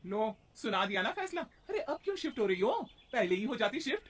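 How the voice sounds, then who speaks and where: Woman acting a scornful, bitter stepmother, swooping pitch. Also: big pitch swings, a little fast. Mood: scornful taunting. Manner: taunting, complaining. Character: bitter, resentful middle-aged stepmother, scornful and nagging. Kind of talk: Hindi moral-story cartoon acting.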